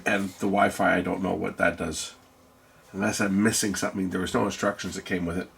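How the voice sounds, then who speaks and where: A man with a gravelly voice giving casual, hands-on electronics commentary, musing aloud and asking himself questions as a video host. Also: deep voice, flat delivery, fairly quick, fairly bright tone, slight background noise.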